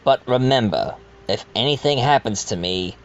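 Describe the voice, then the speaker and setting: A man's voice: mid-range voice, lively intonation, moderate pace, dull audio, close to an everyday voice. A man playing a wealthy doctor who muses theatrically as a murder victim in a fan audio drama. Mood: musing.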